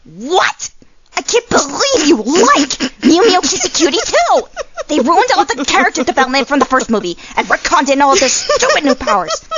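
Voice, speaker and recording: A woman's voice: high voice, very animated, dull audio, very noisy. A woman voicing a nerdy, opinionated cartoon monster who gets riled easily and rants indignantly in a comic dub. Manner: indignant, fast-ranting. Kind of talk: animated comic dub acting.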